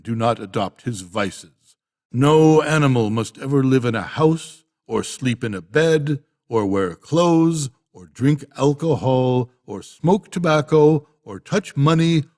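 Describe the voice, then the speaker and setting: An older man voicing an old boar, a solemn, rousing elder of farm animals, giving a measured, emphatic storybook speech. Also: mid-range voice, darkish tone, natural voice. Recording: long hall-like reverb.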